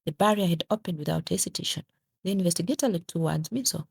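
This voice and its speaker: Female narrator for crime audio drama: husky, gravelly edge, scripted dramatic storytelling. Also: fairly low pitch, fast talker, a little mellow.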